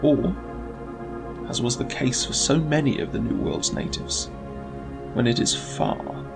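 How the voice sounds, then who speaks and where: Slow, gravelly male narrator over music. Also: mid-range voice. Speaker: narrator and announcer. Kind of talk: scripted dramatic narration. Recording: music behind the voice. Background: atmospheric new-age music.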